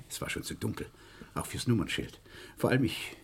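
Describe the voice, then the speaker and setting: An actor playing a meek, nervous clerk in a German radio crime play, speaking haltingly and apologetically with a breathy, husky voice. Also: male voice, lowish voice, very animated, slight background noise. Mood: apologetic, rambling. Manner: hesitant, halting, politely apologetic. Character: meek, nervous male clerk giving a police statement.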